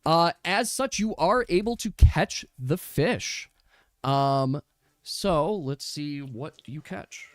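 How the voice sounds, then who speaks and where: A man acting as game master, casually narrating a tabletop game in a halting, thinking-aloud manner. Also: a little high, animated, dry recording. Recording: dry close mic with music behind. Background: music.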